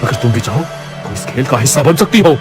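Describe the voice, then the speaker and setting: Man putting on a cold scheming villain, raised pitch. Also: expressive, a little fast, music in the background, natural voice. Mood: menacing. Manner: taunting, threatening. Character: menacing scheming male hospital organ trafficker, mocking and cold.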